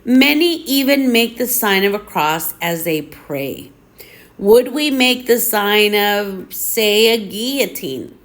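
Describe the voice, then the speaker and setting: Slow-paced woman reading devotional text aloud. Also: medium pitch, animated.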